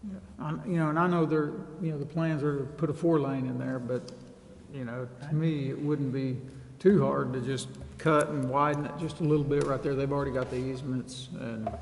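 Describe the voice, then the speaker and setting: A man's voice: mid-range voice, fairly even intonation, a little fast, vocal fry. A man making casual, informal remarks at a public meeting. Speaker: meeting participant making a request. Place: indoor council chamber. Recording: echoey chamber, faint hiss.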